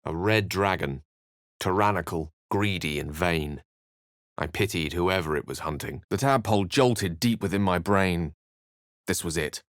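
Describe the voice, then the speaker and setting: Wry scholarly wizard from a video game, kinda low voice, wordy and polite, very dry studio sound. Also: male voice, unhurried, dry recording. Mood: ominous narration. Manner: articulate, literary, theatrical phrasing. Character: eloquent, bookish male wizard, wry, courteous and brooding. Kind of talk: video game voice acting, narration and dialogue.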